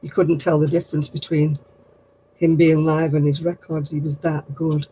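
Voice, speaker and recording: Older woman with a low, husky voice. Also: little pitch movement, moderate pace, dark tone, poor audio. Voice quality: low, husky voice after throat surgery. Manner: rambling, chatty, gossipy with little laughs. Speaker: craft livestream host. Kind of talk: casual chat answering viewer comments.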